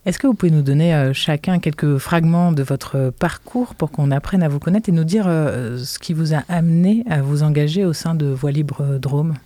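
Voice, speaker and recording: A man hosting a radio interview, introducing his guests and asking calm, measured questions. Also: a little high, no room sound. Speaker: radio host. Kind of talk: interview host.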